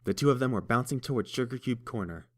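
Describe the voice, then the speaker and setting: A man narrating a story in a steady, even storytelling manner. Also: mid-range voice, quick pace. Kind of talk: fan audiobook narration.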